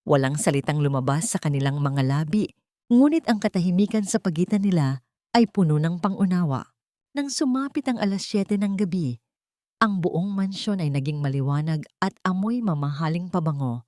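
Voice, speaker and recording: A woman's voice: fairly low pitch, animated, moderate pace, darkish tone, dry recording, studio quality. A woman reading scripted dramatic narration as the narrator of a love story.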